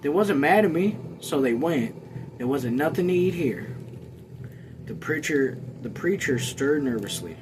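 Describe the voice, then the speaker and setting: A man with a slightly high voice hosts a read-along of a novel. He narrates in a casual, homemade way with offhand asides. Music is clearly audible behind him. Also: very animated, measured pace, rough recording. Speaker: host reading a novel aloud.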